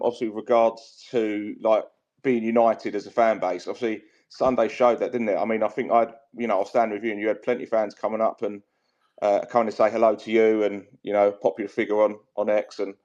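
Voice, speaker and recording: Hesitant low-voiced man. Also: steady tone, brisk, darkish tone. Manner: hesitant, many fillers and repeats. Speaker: host. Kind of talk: casual online audio chat. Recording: dull lo-fi sound.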